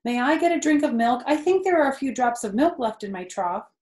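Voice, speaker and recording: A woman's voice: high-pitched, expressive, fairly quick, darkish tone, pitched above the performer's own voice. A woman voicing an anxious, eager, childlike young male pig in a children's storybook, asking in a pleading way. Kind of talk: read-aloud children's storybook. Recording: roomy, echoey indoor sound.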